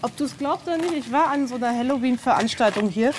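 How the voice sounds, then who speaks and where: A woman's voice: high-pitched, fast talker, a little mellow. A woman chatting casually and with marvelling enthusiasm during an outdoor tour. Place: outdoors at a castle ruin. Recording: outdoor field recording with faint background noise.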